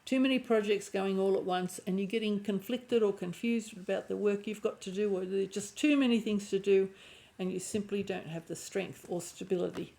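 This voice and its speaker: A woman with a croaky voice and a lingering cough giving an intuitive tarot reading, thinking aloud and often restarting her phrases. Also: medium pitch, fairly even intonation, fairly quick, few pauses, mellow. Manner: musing aloud, restarting phrases, clearing throat. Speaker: tarot reader.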